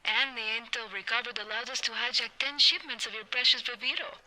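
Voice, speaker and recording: Sharp, defiant rebel. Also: female voice, a little high, a little fast, rough recording. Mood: taunting. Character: defiant, taunting female guerrilla rebel. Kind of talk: dramatic video game cutscene acting.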